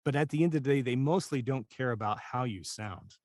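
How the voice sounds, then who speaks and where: A man's voice: medium pitch, a little fast, darkish tone, no echo, studio quality. A man talking casually as a guest voice-over coach, candid and self-deprecating as he shares anecdotes. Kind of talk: casual conversational interview talk.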